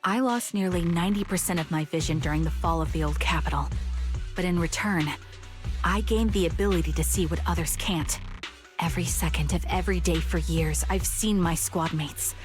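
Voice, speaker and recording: An actress voicing a stoic, haunted soldier sniper in a video game, guarded, serious and controlled. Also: female voice, fairly low pitch, fairly quick, natural voice. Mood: resolute. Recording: game music under the voice.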